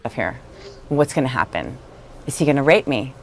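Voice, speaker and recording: Low, even-pitched woman over noisy background. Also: moderate pace. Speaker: interviewee. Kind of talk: recounting a personal experience in an interview. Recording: noisy interview clip playing.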